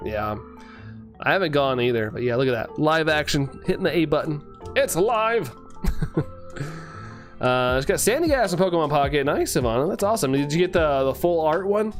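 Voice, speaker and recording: A man's voice: medium pitch, fairly quick, soft background music. Chatty young man with a fading voice. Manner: chatty, laughs often, repeats phrases. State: voice keeps giving out. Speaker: streamer. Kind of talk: casual live-stream chat reading comments.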